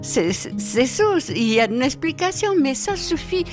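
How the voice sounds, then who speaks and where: A woman from central Italy, older, reminiscing in an interview in a halting, reflective way that repeats words. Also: sits high, big pitch swings, over music. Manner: reflective, halting, repeats words as she tells her stories. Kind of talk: reminiscing storytelling. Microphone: handheld mic held by the interviewer.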